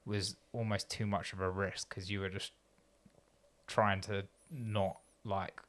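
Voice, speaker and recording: A man's voice: fairly low pitch, flat delivery, slow pace, fairly bright tone. A man hosting a podcast interview who asks thoughtful, rambling questions and often restarts his sentences. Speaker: podcast host. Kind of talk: conversational interview.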